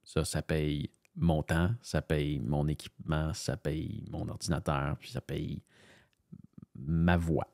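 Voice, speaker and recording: A man's voice: extremely low pitch, level tone, slow, creaky, darkish tone, dry recording, clean studio sound. A man with a Canadian French accent, a voice-over narrator, chatting casually and rambling with many fillers and restarts as he thinks aloud. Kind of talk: casual screen-share commentary.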